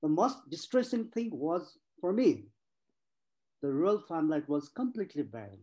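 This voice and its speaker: A man's voice: on the high side, sing-song, normal speed, a little mellow. A man in his forties from Nepal, giving an earnest personal talk as a speaker at an online panel, stressing points through repetition. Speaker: conference speaker.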